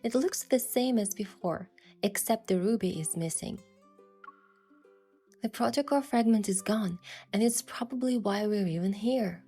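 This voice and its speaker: An actress playing a playful, determined young woman who narrates her romantic game adventure in a measured, expressive way. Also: mid-range voice, husky, soft background music. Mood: narrating. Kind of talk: first-person story narration and dialogue.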